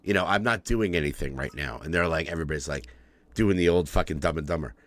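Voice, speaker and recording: A man's voice: very deep voice, fast talker, fairly bright tone. A man co-hosting a casual movie podcast, rambling through his points with lots of fillers, restarts and swearing. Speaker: podcast co-host.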